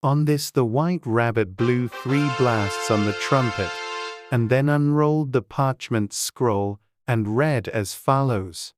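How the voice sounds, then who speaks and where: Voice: mid-range voice, slow, over music. An audiobook narrator reading a scripted storybook.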